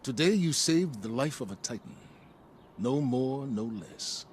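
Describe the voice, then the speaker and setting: Man playing a slow, expressive, grave commander. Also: mid-range voice, slight background noise, lightly acted. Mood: grave gratitude. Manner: formal, weighty, deliberate. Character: grave, dignified commander, stern but appreciative. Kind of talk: video game dramatic dialogue.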